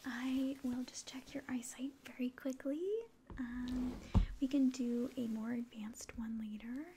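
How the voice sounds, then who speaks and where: A woman in her twenties whispering gently and breathily in an ASMR medical exam roleplay, giving polite, hesitant instructions. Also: fairly high pitch, little pitch movement, unhurried, background noise. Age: 20s. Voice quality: breathy whisper and very soft speech. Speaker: ASMR roleplayer playing an alien doctor. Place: small indoor room at home.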